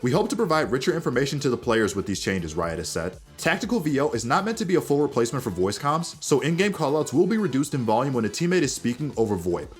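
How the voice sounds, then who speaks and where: Guy reading gaming news like a host, talks really fast, bit of music behind him. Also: medium pitch, very animated. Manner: addresses viewers directly, presenter-like. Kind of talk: upbeat scripted gaming news narration.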